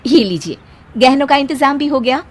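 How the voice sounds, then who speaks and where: A gentle, dutiful young wife in a cartoon moral tale, put on by a woman narrator. She speaks earnestly in a very high, lively voice. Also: moderate pace. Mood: earnest, helpful. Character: gentle, dutiful young daughter-in-law, worried and self-sacrificing. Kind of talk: cartoon moral story voice acting.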